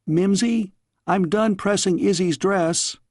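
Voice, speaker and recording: A man's voice: high voice, takes it slowly, no room sound, clean studio sound, voice pushed up. A man voicing a dramatic, petulant girl princess who plays at being an evil witch, in a storybook reading. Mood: theatrical, commanding. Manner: crying out spells, muttering crossly.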